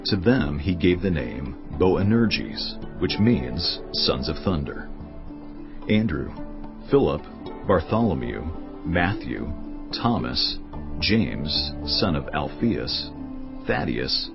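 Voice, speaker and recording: Deep, gravelly male scripture narrator. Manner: measured, solemn storytelling. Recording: dull studio audio with music behind.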